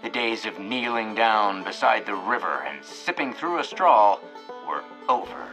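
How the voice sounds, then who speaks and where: A man's voice: lowish voice, expressive, measured pace, poor audio. A man playing a cheerful, folksy small-town host who announces an old-time radio hour in a presentational way. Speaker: host and narrator. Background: plucked guitar music.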